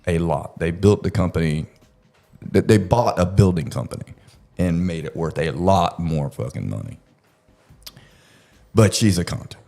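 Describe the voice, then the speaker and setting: Deep-voiced man swinging through casual storytelling. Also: lively intonation, measured pace, gravelly, dark tone. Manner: crude, joking, laughs through the telling. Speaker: podcast storyteller. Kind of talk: casual, profane true-crime storytelling.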